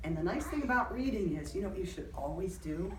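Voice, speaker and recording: A woman teacher reading a picture book aloud to young children, animated and encouraging, stopping for simple questions and explanations. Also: fairly low pitch, fast. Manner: animated, encouraging, acts out sound effects. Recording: roomy, distant mic, faint background noise.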